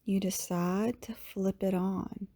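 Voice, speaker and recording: Very slow, soothing woman guiding meditation. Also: mid-range voice, fairly even intonation. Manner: soothing, unhurried, hushed phrase endings with long pauses. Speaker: yoga teacher, meditation guide. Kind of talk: guided meditation narration.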